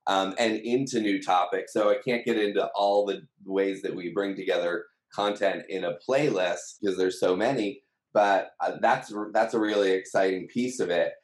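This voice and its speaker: Low-voiced man in an echoey room. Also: steady tone, moderate pace. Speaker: company team member being interviewed. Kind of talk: conversational explanation. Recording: roomy, echoing sound.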